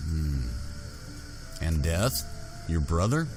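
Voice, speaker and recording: Man, deep voice, slow delivery, gaming host, roleplay narration, music behind. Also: big pitch swings. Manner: unhurried, with pauses and musing hums. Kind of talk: roleplay game playthrough narration. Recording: music under the voice. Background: game music.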